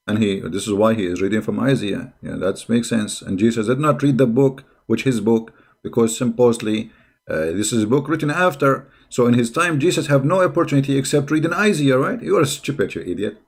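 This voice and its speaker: A man's voice: mid-range voice, vocal fry, a little mellow, breathy edge. Sarcastic, combative man. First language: not English. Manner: sarcastic, combative, repeats rhetorical questions. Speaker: host of a religious debate channel. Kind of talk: reaction commentary, mocking polemic.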